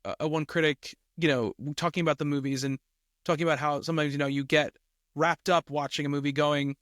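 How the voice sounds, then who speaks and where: A man with a fairly high voice co-hosts a casual film discussion. He explains his points conversationally, tagging them with small check-ins. The recording is close and dry. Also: a bit bright, no room sound. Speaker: film podcast co-host.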